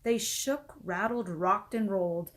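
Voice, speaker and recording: A woman reading a children's book aloud as an expressive storyteller. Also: medium pitch, unhurried. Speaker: storybook reader.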